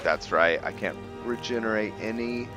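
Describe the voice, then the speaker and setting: A man giving casual let's-play commentary on a video game, thinking aloud in a halting way and trailing off mid-phrase. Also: lowish voice. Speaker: gaming host narrating a playthrough. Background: game music behind the voice.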